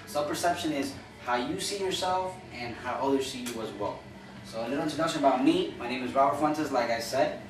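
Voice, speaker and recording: A young man from Cuba giving an earnest, informal classroom speech as a college student, often repeating and restarting phrases. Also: medium pitch. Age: young adult. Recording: echoey room from far off with noise.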